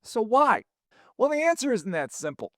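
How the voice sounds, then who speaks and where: A man, a former air traffic controller explaining things in a steady, plainspoken teaching tone. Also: very high voice, moderate pace, no room sound, studio quality. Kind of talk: explainer talk to camera.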